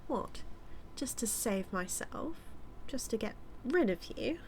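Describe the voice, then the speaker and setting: A woman's voice: on the high side, lively intonation, takes it slowly, a little hiss or hum. A British woman playing a smug, scheming supervillain in an audio roleplay, taunting her nemesis with a theatrical, drawling delivery that dips toward whispers. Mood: mock-wounded. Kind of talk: scripted villain monologue roleplay, spoken to the listener.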